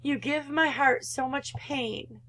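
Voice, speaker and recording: A woman's voice: high-pitched, slow, a bit bright. A woman voicing a cold, belittling, controlling mother in a visual novel, with a condescending, guilt-tripping manner. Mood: pitying contempt.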